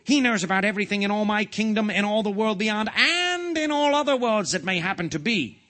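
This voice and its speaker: A man voicing a bossy, excitable, blustering storybook king who bellows his commands. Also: high-pitched, normal speed, voice pushed up. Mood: exasperated, bellowing.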